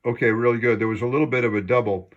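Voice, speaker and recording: A man's voice: medium pitch, steady tone, rapid-fire, highs cut off. A man talking casually as an amateur radio operator during an on-air contact.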